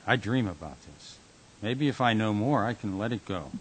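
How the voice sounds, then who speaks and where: An actor playing a tormented, haunted man in a radio drama, speaking in a brooding, halting, intense way. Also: lowish voice, lively intonation, husky, rough recording. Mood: troubled, searching. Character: Jack, a tormented man haunted by falling dreams. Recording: hissy amateur recording.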